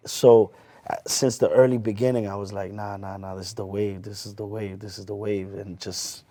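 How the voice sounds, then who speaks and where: A man in a casual interview telling his story as a club hype man, animated and slangy, often repeating phrases. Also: lowish voice, normal speed. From US, Lawrence Massachusetts. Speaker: guest, hype man and promoter.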